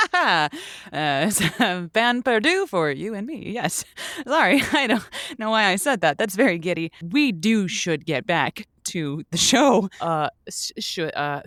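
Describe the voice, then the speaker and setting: A woman's voice: on the low side, gravelly, a bit bright, no echo, studio quality, full character voice. A woman playing a giddy, lovelorn late-night radio host in an audio drama, flustered and theatrical in manner.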